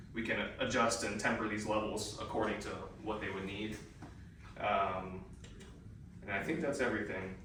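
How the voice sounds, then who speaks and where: A man's voice: fairly low pitch, sharp tone. A man giving a hands-on equipment training in an informal, methodical step-by-step way, recorded indoors. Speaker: trainer demonstrating classroom audio and intercom equipment. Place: indoors in a school room. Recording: roomy echo, distant mic, faint noise.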